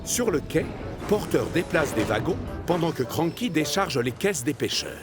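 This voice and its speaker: A man reading scripted French narration for a children's cartoon, expressive, as if telling kids a story. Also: a little high, moderate pace. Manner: expressive, addressing children directly. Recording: music behind the voice.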